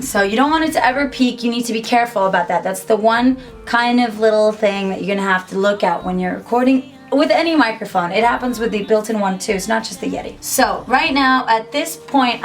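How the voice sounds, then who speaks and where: A young woman talks through a beginner tutorial in a small home studio. Her voice sits a little high, her delivery is chatty and upbeat, and faint music plays underneath. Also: moderate pace. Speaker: musician and YouTuber. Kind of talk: casual tutorial to camera.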